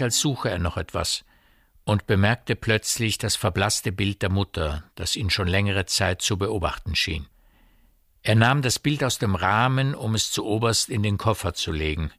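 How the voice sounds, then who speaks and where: A man narrating a radio play in a measured, precise literary style. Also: lowish voice, a bit bright, dry recording, studio quality.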